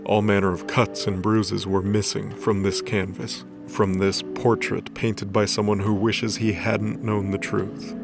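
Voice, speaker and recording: A man reading scripted story narration for an audio drama. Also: fairly low pitch.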